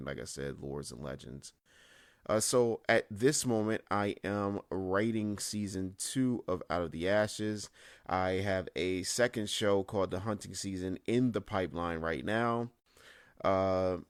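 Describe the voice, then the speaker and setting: A man's voice: deep voice, clean tone, no echo, studio quality. A man speaking as an interview guest, giving casual answers about his creative work.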